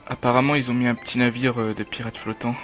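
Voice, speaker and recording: A man's voice: medium pitch, level tone, quick pace, fairly bright tone, natural voice. Man as young cartoon companion, dry humour, mid-range voice, music behind. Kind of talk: French animated series fan dub.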